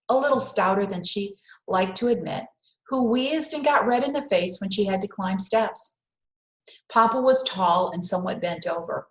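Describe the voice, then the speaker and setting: A woman reading a children's story aloud in a careful, expressive storytelling manner with dramatic pauses. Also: mid-range voice. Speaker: read-aloud host. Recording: roomy, echoing indoor sound.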